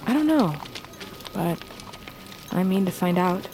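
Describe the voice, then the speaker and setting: Fierce low-voiced young huntress. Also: female voice, expressive, a little slow, darkish tone, soft background music, poor audio. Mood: wary. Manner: archaic formal phrasing, intense. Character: fierce, embittered, defiant young huntress princess, the butcher girl. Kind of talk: cinematic fantasy audio drama acting.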